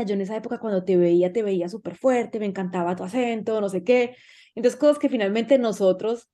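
A Colombian woman hosts a relaxed interview podcast. Her voice is soft-edged and mellow, at an average pitch, and she speaks in a casual, conversational way. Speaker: podcast host.

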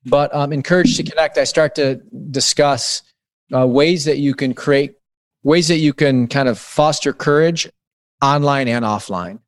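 A man's voice: on the high side, a little slow, clear, resonant tone, no room sound. A man in his late thirties, a guest author talking through ideas in a podcast interview, with frequent restarts as he thinks aloud. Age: 30s. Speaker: guest author on digital wellness.

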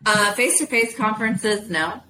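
A woman's voice: mid-range voice, moderate pace, sharp tone, slight background noise, low-quality recording. A woman whose first language is Spanish, chatting as a guest in an interview with many fillers and easy laughter. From United States. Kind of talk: casual interview.

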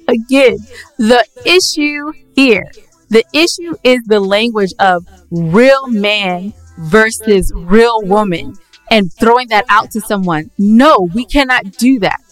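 A woman co-hosting a casual podcast, speaking in an emphatic, opinionated way and quick to interject. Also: fairly high pitch, rough recording. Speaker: podcast co-host. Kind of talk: casual podcast debate with co-host.

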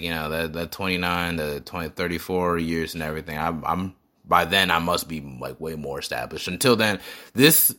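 A young man in his twenties chats on his podcast in a very low voice with a bright, forceful edge. He talks earnestly and reflectively, leaning on "like" and "you know" as he goes. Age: 20s. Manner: reflective, earnest, many fillers. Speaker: podcast host and rapper. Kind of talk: casual chat.

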